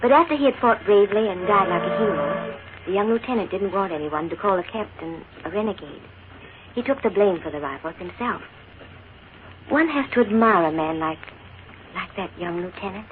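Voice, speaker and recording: An actress playing a gentle, sincere young woman in a 1940s radio western, speaking with dramatic pauses. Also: medium pitch, lively intonation, close to an everyday voice. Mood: tender, earnest. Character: gentle, earnest young woman, a colonel's daughter. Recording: tinny 1940s radio broadcast, faint noise.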